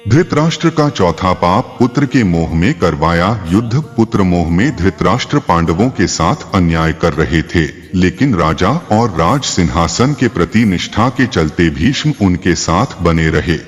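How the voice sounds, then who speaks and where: A man narrating a scripted religious tale as a storyteller, in a measured, explanatory way. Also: low-pitched. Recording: long reverb, faint music behind. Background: faint background music.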